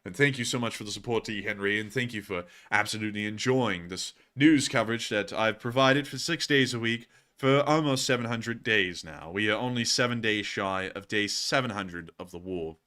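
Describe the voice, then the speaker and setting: A man's voice: on the low side, normal speed, hardly any pauses, pushed and bright. A man from the United States with a tired voice, putting on a British accent as he hosts a livestream and answers viewers in wordy, emphatic, formal phrases. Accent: put-on British. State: fatigued voice. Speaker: livestream host of a news channel.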